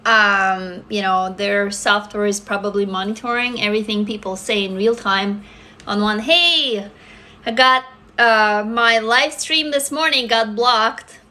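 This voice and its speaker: A woman's voice: a little high, sharp tone. A woman in her forties with a Russian accent, chatting candidly and swearing freely on a phone livestream from her desk indoors. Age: 40s. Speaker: livestream host. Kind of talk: casual livestream chat. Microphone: phone camera close up.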